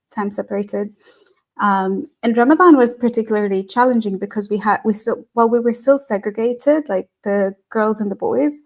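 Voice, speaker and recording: A woman's voice: mid-range voice, darkish tone. A woman from Tanzania, a guest on an online talk, telling stories in a chatty way with many fillers over a video call. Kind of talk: casual conversation.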